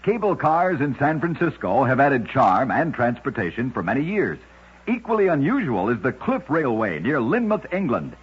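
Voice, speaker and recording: A man narrating strange true stories in a scripted old-time radio style, with a dramatic, measured delivery. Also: mid-range voice, sing-song, slightly rough. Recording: dull, lo-fi old radio recording.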